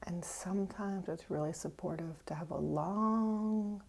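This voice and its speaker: A woman meditation teacher leading a guided meditation gently, with pauses, often trailing off into a breathy near-whisper. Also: on the low side. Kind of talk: guided meditation, unhurried and instructive.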